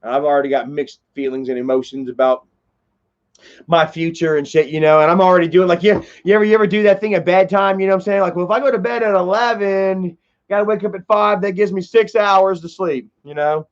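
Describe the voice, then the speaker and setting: A man's voice: high voice, fairly even intonation, a little fast. A man in his thirties telling a long, profane, rambling story on a casual livestream. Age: 30s. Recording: dull lo-fi stream audio.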